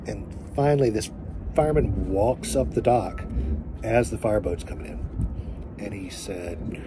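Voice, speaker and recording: A man in his forties telling a story straight to a phone camera outdoors, speaking earnestly and emphatically, pausing to find his words. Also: fairly low pitch. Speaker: family vlogger. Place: outdoors by a boat in sunlight. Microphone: phone held at arm's length. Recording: outdoor phone audio with background noise.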